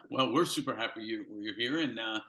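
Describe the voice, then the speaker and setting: A man's voice: lowish voice, moderate pace, bright, forceful tone. An older man hosting an interview series, friendly and rambling, often restarting his questions. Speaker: host. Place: Los Angeles.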